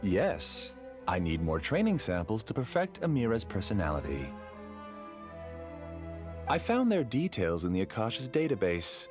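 An actor playing a formal, self-assured, obsessive scholar who rules a dream world in an anime game. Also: male voice, fairly low pitch, huge pitch swings, a little slow, long pauses, over music. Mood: earnest, confessional. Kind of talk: anime video game voice acting.

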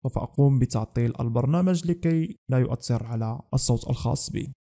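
A man's voice: medium pitch, fast talker, dark tone. A young man narrating a scripted tech tutorial as the host of a channel.

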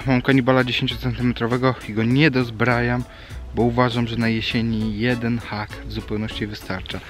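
A man's voice: medium pitch, little pitch movement, a little fast, over music. A man in his thirties filming a fishing vlog outdoors by a small river, chatting and explaining as he fishes. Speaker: fishing vlogger. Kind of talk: casual outdoor vlog talk.

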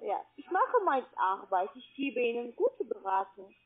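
A woman with a foreign accent and non-native phrasing, giving an insistent sales pitch as a call centre agent. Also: sing-song, unhurried, breathy edge. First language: not German. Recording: band-limited phone call audio.

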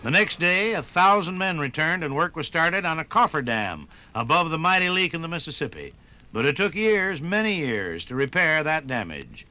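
A male narrator from a 1950s radio broadcast, with a fairly high-set voice that moves animatedly up and down. He delivers scripted history with emphatic, measured phrasing, and music plays behind him on the old record. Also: sing-song, pushed and bright.

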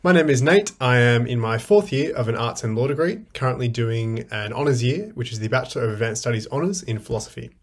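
A man's voice: mid-range voice, animated, fast talker, hardly any pauses. Man in his 20s, hesitant and thoughtful, webcam at home. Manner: thoughtful, hesitant with many ums. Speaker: university student. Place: room with window blinds.

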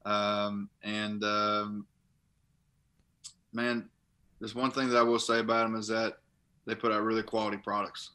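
A man's voice: lowish voice, level tone, a little slow, long pauses, pushed and bright. A young man, a guest in a casual interview, talking from his living room over a laptop video call, rambling and restarting phrases as he explains. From Kentucky. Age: young adult. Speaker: guest, college linebacker and bass angler. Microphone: laptop webcam on video call.

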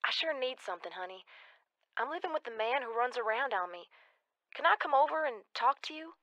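A woman's voice: a little high, lively intonation, brisk, bright, forceful tone. An actress in an audio drama playing a troubled, needy woman on the telephone to an old flame. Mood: wistful. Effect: telephone filter. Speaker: actor in a radio drama. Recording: dull, band-limited phone-call sound.

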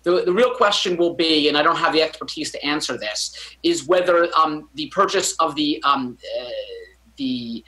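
A man in his thirties gives a remote news interview from a book-lined home study. His voice sounds bright and forward, and he works through his points with many fillers and self-corrections. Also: fairly high pitch, moderate pace, low-quality recording. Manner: hesitant, many fillers and restarts. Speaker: physician guest commentator.

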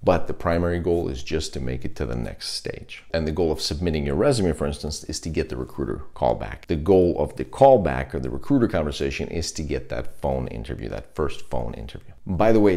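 A man in his thirties with an extremely low-pitched voice speaks as a career coach. He delivers instructional advice straight to camera and puts deliberate emphasis on key words. He is miked with a lapel microphone in a small indoor room. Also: creaky, darkish tone. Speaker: host, product management career coach. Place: small indoor room, plain dark backdrop. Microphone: lapel mic.

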